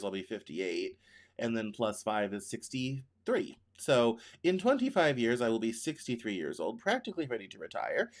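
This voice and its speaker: A man in his thirties hosts a news show in a loose, conversational way. He rambles and thinks out loud, often stopping to correct himself mid-sentence. His voice sits at an ordinary middle pitch for a man. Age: 30s. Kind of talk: casual spoken news commentary.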